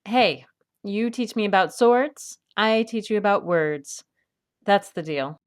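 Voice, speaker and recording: A kindly adventuress, played by a woman talks at a middling pitch. She is patient and reassuring, with a dry wit, in the style of an adventure audio drama. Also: lively intonation, takes it slowly, smooth. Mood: wry. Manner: gentle, reassuring, dryly witty. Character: kindly, patient, wry adventuress and gentle peacemaker.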